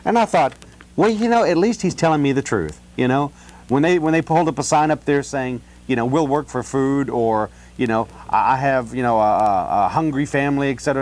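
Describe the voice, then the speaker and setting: Halting American man talking on the phone. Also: medium pitch, darkish tone. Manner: halting, rambling, frequent "you know". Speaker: city council candidate on a phone call. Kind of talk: casual phone conversation. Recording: telephone call.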